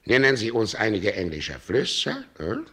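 A man's voice: on the low side, sing-song, a little slow, a bit bright, breathy edge, full character voice. An actor playing a stern, pedantic male professor in a radio drama, with a superior, clipped way of questioning. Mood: probing, superior.

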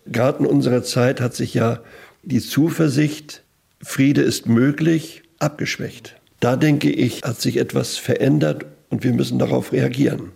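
Churchman interviewee: heavily creaky, hoarse-edged rasp, medium pitch, earnest and reflective. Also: steady tone, a little mellow. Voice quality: very gravelly, raspy. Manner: earnest, pausing to think. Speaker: auxiliary bishop.

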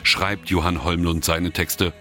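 A man's voice: deep voice, level tone, unhurried, pushed and bright. A man hosting a regional music program, interviewing guests and narrating features. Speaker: radio show host. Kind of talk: interviewer and scripted magazine narration.

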